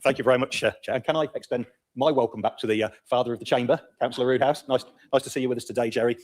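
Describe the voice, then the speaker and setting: A man's voice: medium pitch, steady tone, very fast. A man speaking as a councillor in a formal meeting, courteous but pointed. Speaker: opposition councillor. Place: council chamber.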